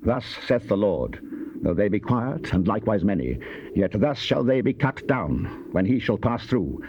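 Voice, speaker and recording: Wrathful prophet, declamatory and solemn, dark warm tone, dramatized King James scripture on muffled tape. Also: fairly low pitch, big pitch swings, normal speed. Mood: grave, wrathful. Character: grave, wrathful Old Testament prophet proclaiming divine vengeance. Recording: dull, noisy cassette tape.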